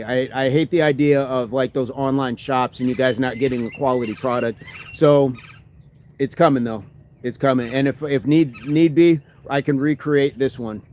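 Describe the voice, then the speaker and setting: A man's voice: medium pitch, darkish tone. A man hosting a fitness livestream, casually chatting and reading viewer comments, laughing often and thanking people by name.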